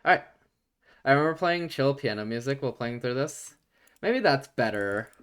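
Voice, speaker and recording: A man chatting casually on a game stream, talking to his viewers between quiet read-aloud passages. His voice sits at an ordinary middle pitch, low and relaxed when he reads, livelier when he laughs with chat. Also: moderate pace, smooth.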